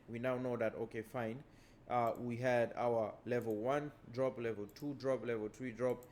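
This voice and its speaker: A man whose first language is Portuguese, giving a casual lesson as a trading educator and walking through charts in a relaxed way that leans on repeated stock phrases. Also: medium pitch, a little hiss or hum.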